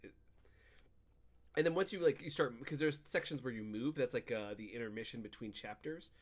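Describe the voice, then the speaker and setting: A man's voice: medium pitch, animated, brisk. A man co-hosting a podcast, chatting casually about video games in a rambling, slangy bro style and acting out bits of dialogue. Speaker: podcast co-host.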